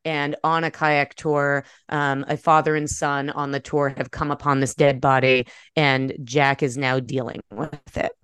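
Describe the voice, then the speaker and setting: An American woman, an author speaking in an articulate, measured way with a smooth, clear voice. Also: low voice, flat delivery, fairly bright tone, no room sound. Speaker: debut author, guest. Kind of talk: conversational interview talk and prose reading from own novel.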